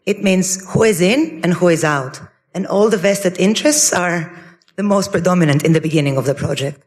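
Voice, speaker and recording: A woman's voice: lowish voice, normal speed, a little mellow. A woman with a Greek accent, an economist giving a conversational, self-deprecating panel presentation at a conference. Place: large conference hall. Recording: long hall reverb at a conference.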